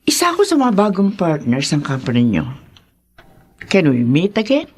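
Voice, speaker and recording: A woman's voice: on the low side, huge pitch swings, normal speed, slightly rough, heavily acted. A woman with a Filipino accent acting a well-off, emotional middle-aged mother in a Tagalog radio drama. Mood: excited, polished.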